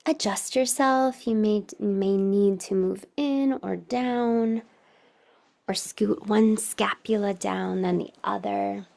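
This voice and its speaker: Slow, creaky-voiced woman guiding meditation. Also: mid-range voice, a little mellow. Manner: soothing, unhurried, with long pauses. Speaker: meditation teacher and podcast host. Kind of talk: guided meditation.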